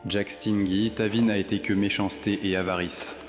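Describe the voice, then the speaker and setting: Guy playing heaven's gatekeeper in an audio drama, really low voice, solemn n scornful. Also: level tone, moderate pace, faint music behind, natural voice. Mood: stern, scornful. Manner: curt, solemn, dismissive. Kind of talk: French audio fiction acting.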